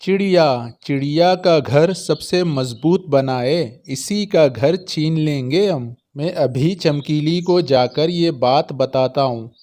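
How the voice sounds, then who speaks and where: A man plays a henpecked crow husband in a children's cartoon. He is questioning and deferential, speaking slowly in a slightly high male voice. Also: a little mellow. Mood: scheming.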